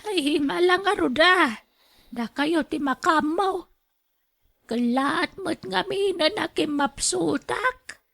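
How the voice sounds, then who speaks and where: Woman playing a high, slow, stubborn old grandmother. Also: gravelly, a bit bright, full character voice. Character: frail, stubborn elderly grandmother. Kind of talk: Ilocano radio drama acting.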